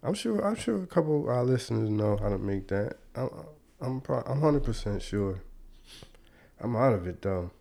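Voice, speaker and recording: A man's voice: medium pitch, animated, moderate pace, vocal fry, mellow. A young man hosting a podcast in a casual, slangy way, stuffy and congested from a cold. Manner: laid-back, slangy, chuckling. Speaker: podcast host.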